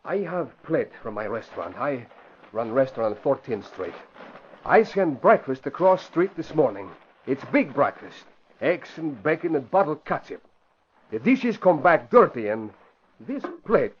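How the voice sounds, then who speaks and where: An actor playing an eager, humble immigrant restaurant owner with a foreign accent and broken English in an old radio drama. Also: male voice, a little high, sing-song, unhurried, a little hiss or hum. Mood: urgent, insistent. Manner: broken English, short clipped phrases. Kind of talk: 1950s radio drama acting. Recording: dull vintage radio recording.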